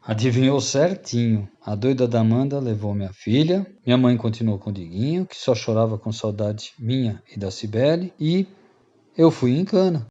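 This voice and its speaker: A man acts out a reformed Brazilian freeloader confessing to old friends in a radio play. His voice is dark and mellow. The recording is slightly dull and lo-fi. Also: mid-range voice, expressive, normal speed, lightly acted. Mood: rueful. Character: repentant rogue and former freeloader confessing to friends. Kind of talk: radio drama storytelling.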